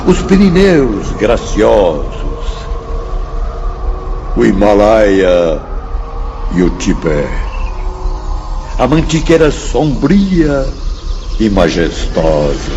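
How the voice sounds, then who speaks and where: An actor playing a wise, solemn spirit teacher in a Brazilian radio drama, lecturing in grand exclamations. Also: male voice, on the low side, sing-song, drawn-out words, pauses often, lo-fi sound, background noise, exaggerated voice. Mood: awed, majestic. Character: wise, solemn male spirit teacher revealing Earth's creation. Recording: music bed behind the voice.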